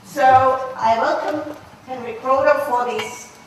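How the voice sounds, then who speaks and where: A woman organizer giving a formal introduction to a lecture, halting and correcting herself now and then. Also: sits high. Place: large lecture hall. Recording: echoey hall, distant mic, faint noise.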